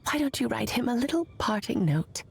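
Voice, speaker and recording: A creaky, wistful witch. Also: a little high, big pitch swings, brisk, heavily acted. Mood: rueful. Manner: rueful, quietly sad, sighing. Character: wistful witch, once cheerful, now sad. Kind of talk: video game voice acting.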